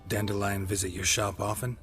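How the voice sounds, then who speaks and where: An actor playing a stoic, laconic monster hunter in a fantasy video game, speaking in a terse deadpan. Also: male voice, lowish voice, brisk, lightly acted. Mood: probing. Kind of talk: video game dialogue acting. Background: music.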